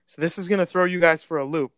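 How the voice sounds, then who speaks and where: Casting: laid-back man, native Spanish speaker, bright and fairly high voice, dry close sound, rambling slangy storyteller. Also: quick pace, dry recording. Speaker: podcast guest. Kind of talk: casual chat.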